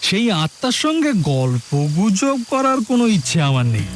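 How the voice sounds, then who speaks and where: An actor playing a haunted, obsessive well-off man in a Bengali audio drama, halting and repeating his words dramatically. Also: very high-pitched, huge pitch swings, exaggerated voice. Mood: mocking, imperious.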